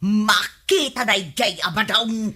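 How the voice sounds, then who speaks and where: A woman's voice: mid-range voice, measured pace, bright, forceful tone, husky. A woman playing an irritable, complaining village wife in a comedy radio drama, with an Ilocano accent. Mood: suspicious.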